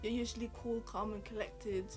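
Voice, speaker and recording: A woman's voice: on the high side, fairly even intonation, normal speed, music in the background. A woman co-hosting a talk in a casual chatty style, chiming in to clarify and restate points. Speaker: co-host. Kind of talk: casual chat.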